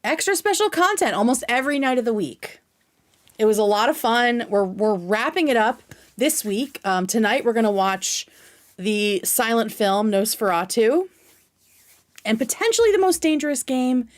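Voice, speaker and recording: A woman's voice: on the high side, expressive, normal speed. A woman chatting casually as a livestream host, with an informal, scattered manner and free swearing.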